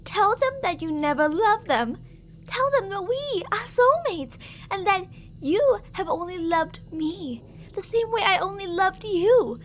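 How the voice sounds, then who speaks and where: A woman's voice: very high-pitched, expressive, measured pace. A woman playing an obsessively jealous, murderous stalker lover in an ASMR roleplay, theatrical and possessive, swinging between coaxing and menace. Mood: coaxing, insistent. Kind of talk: ASMR girlfriend roleplay acting. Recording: audible background noise.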